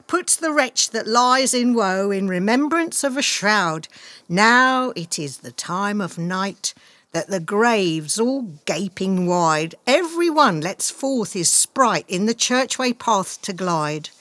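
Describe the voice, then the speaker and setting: A woman's voice: mid-range voice, pushed and bright, dry recording. A woman playing an eerie, mischievous night sprite, reciting theatrical verse in a radio play. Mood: eerie, menacing.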